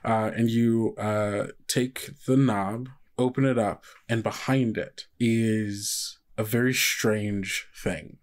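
A man's voice: lowish voice, fairly even intonation, slow pace, hardly any pauses. Casual American man narrating a tabletop game. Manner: conversational, rambling with many asides. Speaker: game master.

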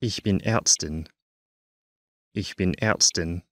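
A man teaching a language course, saying each short phrase twice in slow, careful drills. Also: low-pitched, fairly bright tone, no room sound, clean studio sound.

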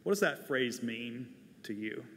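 American guy pastor preaching, bright forceful voice, long church reverb. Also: mid-range voice, lively intonation, measured pace, slight background noise. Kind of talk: sermon. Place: large church sanctuary, at a wooden pulpit. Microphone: lapel mic.

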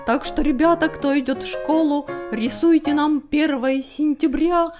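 A woman voicing a small, mischievous, excitable male ink demon in a playful cartoon comic dub. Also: high-pitched, a little slow. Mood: cheerful. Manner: playful, exclamatory. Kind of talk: fan comic dub and show hosting. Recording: music behind.

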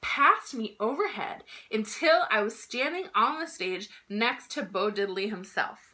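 Bright, high-voiced woman reading aloud expressively. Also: measured pace. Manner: expressive, emphatic delivery for children. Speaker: children's book reader. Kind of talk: read-aloud storytelling from a picture book. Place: small indoor room at home.

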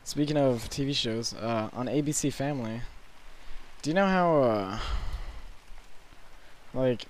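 An eighteen-year-old man with a hoarse, sore-throated voice, rambling through casual late-night gameplay commentary from his bedroom with lots of fillers. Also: mid-range voice. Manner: rambling, halting with many fillers. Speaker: gaming commentator.

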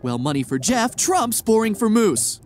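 Man playing a pompous scientist sidekick, bossy, dramatic, lively swooping pitch, kids' gaming cartoon, music behind. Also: normal speed. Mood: smug, bossy. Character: bossy, money-minded know-it-all male scientist sidekick.

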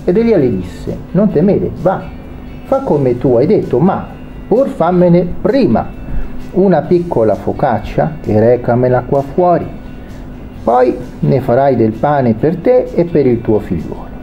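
A man preaching a sermon, reading a Bible passage aloud and then explaining it with pauses and restarts. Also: a little high, big pitch swings, lo-fi sound, soft background music. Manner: reads scripture then explains it, hesitant pauses.

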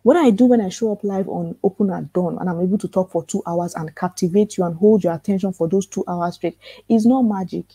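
A soft-spoken woman with a calm voice teaching a live online workshop for authors, earnest and repetitive, asking rhetorical questions. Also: lowish voice, fairly quick, breathy edge, no room sound. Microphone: computer mic in a live webinar.

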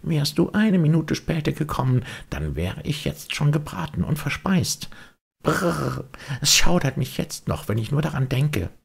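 A man performs a small wooden puppet boy for a children's audiobook. The voice is lifted high and swings widely, with some crackle. He sounds anxious, plaintive and excitable. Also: a little slow, vocal fry, husky, heavily acted. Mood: shuddering.

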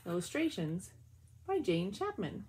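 A woman in her forties, a teacher, reading picture books aloud to children in a lively, drawn-out storybook way with soft asides, recorded on a webcam in a small bedroom. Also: medium pitch, slight background noise. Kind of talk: children's read-aloud with comments.

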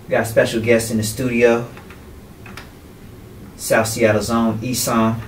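A man's voice: mid-range voice, level tone, measured pace. A man hosting a casual radio interview, easygoing and encouraging. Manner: easygoing, encouraging with short affirmations. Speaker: radio host. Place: radio studio. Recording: roomy, distant camera audio with faint noise.

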